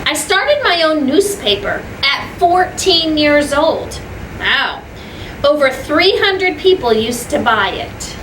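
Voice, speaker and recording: High-voiced woman reading aloud to children. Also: big pitch swings, takes it slowly. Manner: simple explanations, repeats points, asks listeners questions. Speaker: school teacher reading to children. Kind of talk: children's story read-aloud with explanatory asides. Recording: echoey room, distant mic, clear background noise.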